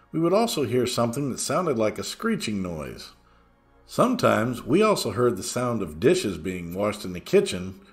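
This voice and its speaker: A man reading a first-person true story as a scripted narration, in a storytelling style. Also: mid-range voice.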